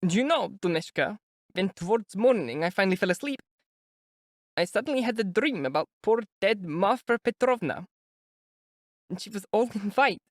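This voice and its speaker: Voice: mid-range voice, dry recording, studio quality, voice pushed up, lightly acted. A reader doing a flustered, fretful older mother. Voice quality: breathless, exclamatory, flustered. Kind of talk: audiobook character voice.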